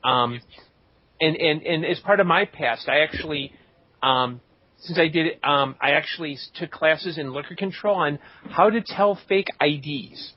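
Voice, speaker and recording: Guy hosting a podcast, jokey casual chat, highish voice, kinda dull lo-fi audio. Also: measured pace. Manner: joking, tongue-in-cheek. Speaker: podcast host. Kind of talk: casual chat reading out listener shout-outs. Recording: dull lo-fi voice recording.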